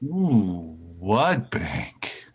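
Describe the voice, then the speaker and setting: An actor playing a dazed, mild husband in a 1940s-style radio comedy. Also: male voice, fairly low pitch, very animated, extremely slow, breathy edge, heavily acted. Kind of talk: 1940s radio sitcom acting.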